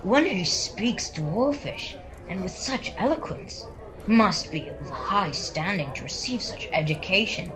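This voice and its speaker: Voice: medium pitch, lively intonation, measured pace, a crowd in the background, close to an everyday voice, poor audio. A young performer playing a proud, eager dwarf adventurer in a radio-style fantasy serial, with grandiose, formal speech. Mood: courtly. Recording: video-call recording with background voices.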